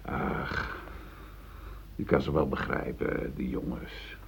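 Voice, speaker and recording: A man's voice: very deep voice, little pitch movement, slow pace, vocal fry, a little hiss or hum, heavily acted. An actor in a Dutch radio play, playing a newly promoted, uneasy middle-aged foreman who earnestly justifies himself. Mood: resigned. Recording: muffled phone-like old radio recording.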